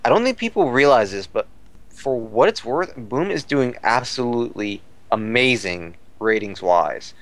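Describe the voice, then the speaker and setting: A man with a clean, smooth voice at an ordinary middle pitch chats as a podcast commentator. He rambles through fan news, circling back over his phrases as he looks for the next word. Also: expressive, a little slow, no echo. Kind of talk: casual fan news discussion.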